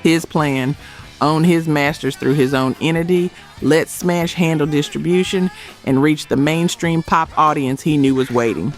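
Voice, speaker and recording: A man with a slightly high voice narrates a music documentary from a script. He lands short phrases with dramatic emphasis, and music plays faintly behind him. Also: a little slow. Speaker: narrator of a music history video.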